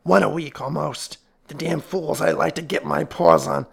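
Man acting a growling, gruff building superintendent. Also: on the high side, steady tone, normal speed, exaggerated voice. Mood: indignant. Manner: blunt, slangy, grumbling. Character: gruff, thick-set working-class male building superintendent, blunt and irritable.

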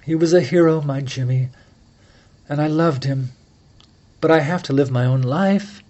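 A man's voice: a little high, a little slow, darkish tone, muffled recording, natural voice. A man voicing a grieving young woman who falters and stammers through a tearful plea, for an audiobook. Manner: faltering, tremulous, stammers under pressure.

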